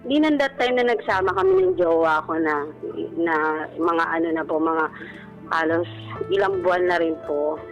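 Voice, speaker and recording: Casting: woman, 30s, low voice, polite radio caller telling her own story over background music. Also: moderate pace, pauses often, poor audio. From Philippines. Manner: respectful, frequent polite particles. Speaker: caller on a radio advice show. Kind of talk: personal storytelling, conversational. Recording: radio broadcast with music bed.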